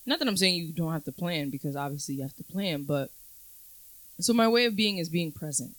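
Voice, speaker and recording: A woman's voice: low voice, expressive, a little fast, no room sound, rough recording. A woman in her twenties on a casual podcast, talking in a reflective, earnest way and drawing out her phrases. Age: 20s. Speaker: podcast co-host. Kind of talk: casual chat.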